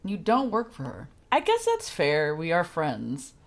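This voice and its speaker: A woman's voice: low voice, huge pitch swings, measured pace, creaky. A woman giving casual, reactive live commentary as she streams a game, talking informally.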